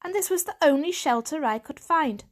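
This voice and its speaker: Woman doing an earnest young tom cat, high and eager. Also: animated, normal speed. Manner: earnest, eager, slightly proud. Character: young male pet cat, earnest and naive.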